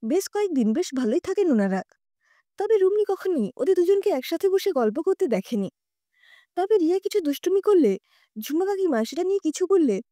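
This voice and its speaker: A woman narrating a scripted audio story in an expressive, conversational reading. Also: high-pitched, no room sound, studio quality.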